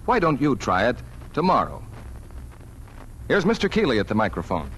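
A man with a medium-pitched, animated voice delivers a 1940s radio commercial. He is polished and persuasive, reading from a script on an old recording. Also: moderate pace, slight background noise, poor audio. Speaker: commercial announcer.

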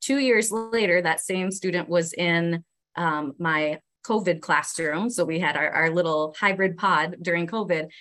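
A woman's voice: fairly low pitch, a little slow, fairly bright tone. A woman teacher sharing classroom stories in a panel discussion, with frequent fillers. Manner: anecdotal, with fillers and restarts.

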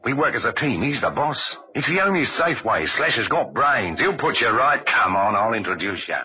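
Man acting a brash, pushy crook, animated old-radio delivery. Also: medium pitch, normal speed, close to an everyday voice. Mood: confident, chummy. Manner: slangy, needling, bossy. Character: pushy, scornful small-time crook, brash and slangy. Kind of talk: old-time radio crime drama acting. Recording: dull, lo-fi vintage radio recording.

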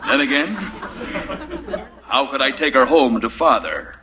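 A man's voice: mid-range voice, very animated, measured pace, heavy background noise. An actor playing a lovesick, anxious werewolf posing as an office man, in melodramatic 1950s radio comedy style. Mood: confessional, wry.

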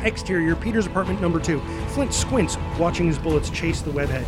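Male narrator for stage directions: high voice, dramatic and punchy, music clearly underneath. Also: fairly quick. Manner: vivid, punchy, voices sound effects.

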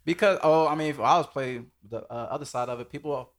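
A man hosts a casual podcast at a brisk clip. His speech is informal and full of slang, in an easy conversational style, with a mid-pitched male voice. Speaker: podcast host.

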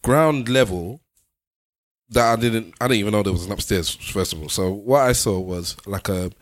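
A man's voice: lowish voice, normal speed, no echo, clean studio sound. A man, co-hosting a podcast, telling stories and arguing his point with emphasis. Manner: emphatic, argumentative, animated storyteller. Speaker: podcast co-host. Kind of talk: casual banter and storytelling.

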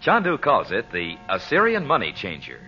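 An American man announcing and pitching on old-time radio in an emphatic, salesmanlike style, speaking straight to the listener. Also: wildly swooping pitch, moderate pace, sharp tone, soft background music. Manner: salesmanlike, emphatic, direct address. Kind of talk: 1940s radio advertising announcing. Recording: dull vintage radio broadcast recording.

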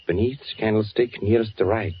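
An actor playing a careful undercover agent posing as a German army officer in a 1940s radio drama, speaking in a measured, guarded way. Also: male voice, on the low side, natural voice. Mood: cautious instructing. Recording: dull, noisy 1940s radio recording.

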